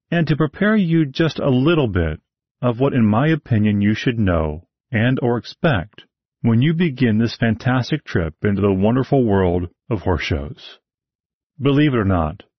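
A man's voice: lowish voice, slightly rough, no echo. A man hosting a podcast, reading a scripted monologue of frank advice with wry asides. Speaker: podcast host, horse show announcer. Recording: dull lo-fi dry recording.

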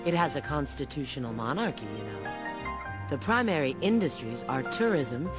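A woman voicing a sly, flirtatious bat spy in a video game, with a low, sultry, teasing delivery. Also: animated, moderate pace. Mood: breezy. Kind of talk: video game cutscene acting. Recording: music under the voice.

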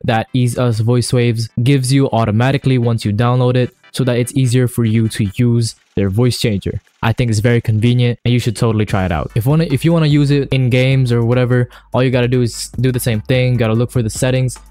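A man narrating a casual software tutorial in a slangy, enthusiastic way. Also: medium pitch, steady tone, a little fast, hardly any pauses, no echo. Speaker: YouTuber host. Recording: music under the voice. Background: background music.

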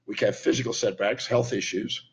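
An older man with a hoarse, raspy voice from laryngitis, giving an emphatic teaching talk to a webcam. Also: mid-range voice, steady tone, fairly quick. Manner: emphatic, lecturing, lists points with hand gestures. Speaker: speaker and teacher. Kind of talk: talking to camera, teaching talk. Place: indoor room at home. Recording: dull lo-fi webcam sound.

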